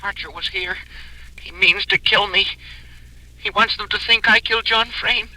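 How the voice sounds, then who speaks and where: A man's voice: high voice, steady tone, moderate pace, bright, forceful tone, exaggerated voice, poor audio. An actor playing a terrified, wounded man pleading in anguish through a filter, in 1940s radio thriller style.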